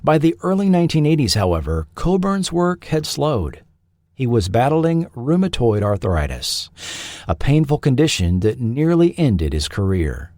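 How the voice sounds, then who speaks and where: A man narrating a scripted documentary in a measured, formal storytelling manner. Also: medium pitch, sing-song, darkish tone, no room sound, clean studio sound. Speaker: podcast host and narrator.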